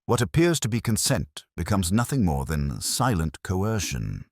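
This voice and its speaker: A man voicing an analytical, composed Victorian detective in an audiobook, speaking precisely and deliberately with cool reasoning. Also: low voice, sing-song, no room sound, voice pushed up, full character voice. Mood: certain, grave.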